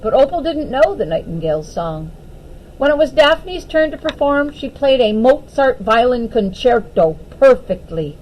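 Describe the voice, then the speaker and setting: A woman reading a children's picture book aloud as an expressive storyteller. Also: high-pitched, normal speed, slight background noise, low-quality recording.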